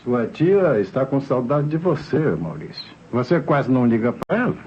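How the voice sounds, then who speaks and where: A man's voice: medium pitch, sing-song, normal speed, a little mellow, lightly acted. Man playing dutiful worried doctor, hesitant, very varied intonation, old radio drama, muffled with faint noise. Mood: concerned, resolute. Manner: hesitant, repeating himself. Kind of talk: 1960s radio drama acting.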